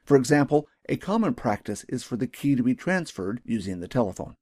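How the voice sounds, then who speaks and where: A man's voice: mid-range voice, sing-song, brisk, darkish tone, dry recording, clean studio sound. A man narrating a scripted training video as an instructor, explaining things in a formal, methodical, carefully enunciated way.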